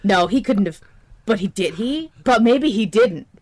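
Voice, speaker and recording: A woman with a mid-pitched voice co-hosts a podcast in an easy, casual chat. She is playful and joking, and leans on informal slang. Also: lively intonation, measured pace, a little mellow. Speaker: podcast co-host.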